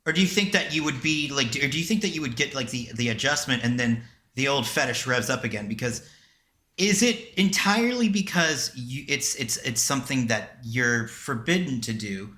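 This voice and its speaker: A man's voice: medium pitch, expressive, moderate pace, sharp tone, reverberant. A man hosting a casual podcast, rambling along and often stopping to repeat himself. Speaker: podcast host.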